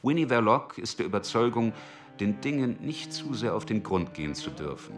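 An actor giving sober, explanatory scripted narration for a German radio crime drama. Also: male voice, fairly low pitch, very animated, normal speed, faint music behind.

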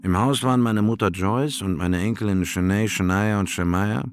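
Ein älterer Schauspieler spielt einen Großvater, der in einem Hörspielmonolog gefasst von der Flucht seiner Familie vor einer Flut erzählt. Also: male voice, lowish voice, moderate pace, natural voice. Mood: sober recounting. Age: older. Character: grandfather Robert steadily recounting his family's flood escape. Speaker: radio drama actor. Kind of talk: dramatic first-person survivor monologue.

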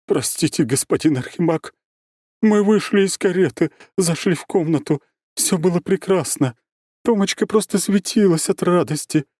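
A man's voice: high-pitched, normal speed, darkish tone, no room sound, clean studio sound, voice pushed up. A man voicing a distraught, combative middle-aged mother of the bride in an audiobook, with breathless, emotional delivery. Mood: tearful, breathless. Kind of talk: audiobook character voice.

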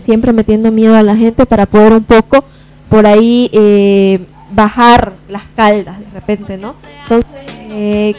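Mellow, higher-pitched woman on a radio panel. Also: clear, resonant tone, low-quality recording. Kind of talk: live radio discussion. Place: radio studio.

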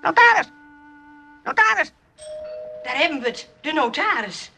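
Radio play role: prim nursing sister, earnest, moralising, soft underneath, bright voice with very lively intonation. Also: female voice, high-pitched, a little slow, gravelly, soft background music, full character voice. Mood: flustered. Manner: earnest, reproving, fretful.

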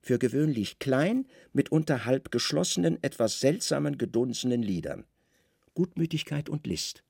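Male narrator, measured and precise, creaky voice in a dry studio, for literary radio drama. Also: medium pitch, lively intonation, darkish tone, no echo.

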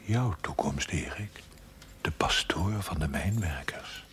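A man's voice: extremely low pitch, expressive, slow pace, a bit bright, a little hiss or hum, exaggerated voice. An actor playing a whispering male inner voice that makes sly, needling, mocking asides in a 1970s television drama. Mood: insinuating.

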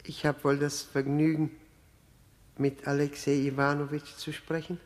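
A man acts out a self-abasing widower in mourning, in 1960s radio drama style. His voice sits a little high for a man. He speaks haltingly, with evasive, excessively polite apology. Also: little pitch movement, lightly acted. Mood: formal, polite.

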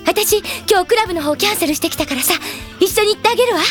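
An actress playing a caring, brisk young woman who is a loyal teammate, coaxing and animated in a Japanese anime audio drama. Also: extremely high pitch, faint music behind. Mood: coaxing, cheerful.

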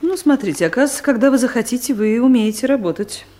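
A woman's voice: fairly high pitch, animated, fast talker, mellow, close to an everyday voice. An actress playing a stern, easily offended middle-aged female director, curt and commanding, in a Soviet radio comedy. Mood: businesslike.

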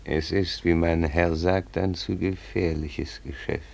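An actor in a German radio play voicing a sly, fawning old servant of a raja, obsequious and dangerous. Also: male voice, low-pitched, steady tone, measured pace, gravelly, a little mellow. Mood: sly, deferential. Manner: obsequious, echoes his master. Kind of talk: German radio drama acting.

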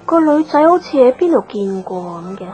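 An actress playing a nosy, catty young office gossip in a radio drama, snappy in manner. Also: female voice, fairly high pitch, sing-song, moderate pace, darkish tone. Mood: nosy, brisk. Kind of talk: Cantonese radio drama dialogue. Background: background music.

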